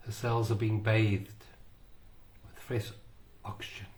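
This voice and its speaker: Man, guided meditation, soothing, unhurried, slightly low pitch, long pauses. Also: little pitch movement, a little mellow.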